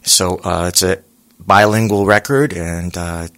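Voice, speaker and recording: A singer being interviewed, talking in a relaxed, rambling way with lots of pauses and fillers. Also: male voice, low voice. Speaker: singer guest. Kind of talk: casual interview chat.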